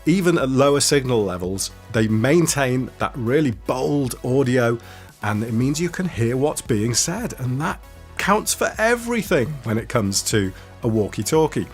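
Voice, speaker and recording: A man's voice: mid-range voice, lively intonation, unhurried, faint music behind. A man giving a casual, enthusiastic product review in a conversational way. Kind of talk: casual walkie-talkie review to camera.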